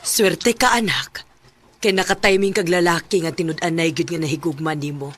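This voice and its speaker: A gentle, mature mother voiced by an actress with a husky voice and Cebuano Filipino accent. She speaks with tender care, as in a radio drama. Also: fairly low pitch, fairly even intonation, normal speed, close to an everyday voice. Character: gentle, caring middle-aged or older mother. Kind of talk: Bisaya radio drama acting.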